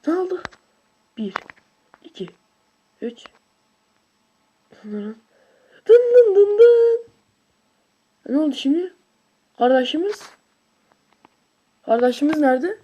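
An excitable video creator with a high voice, giving casual, slangy gameplay commentary with shouts and whoops. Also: slow pace, pauses often, muffled recording, a little hiss or hum.

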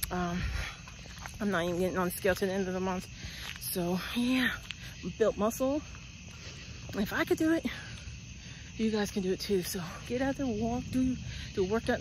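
A woman's voice: medium pitch, background noise. A woman in her forties vlogging while walking outdoors at night, talking bluntly and rambling into a phone held at arm's length. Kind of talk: casual walking vlog. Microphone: phone at arm's length, earbuds.

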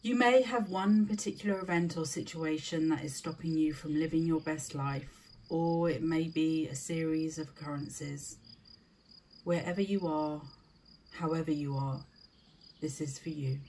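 A woman leading a scripted guided meditation in a soothing, deliberate manner, with short spaced phrases. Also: low voice, steady tone, darkish tone, a little hiss or hum.

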